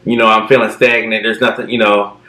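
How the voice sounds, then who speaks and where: A man in his thirties telling stories in a relaxed, casual way, filling his talk with "you know". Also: on the low side, fairly quick, roomy sound, poor audio. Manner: relaxed storytelling with many fillers. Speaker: co-host. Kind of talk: casual chat.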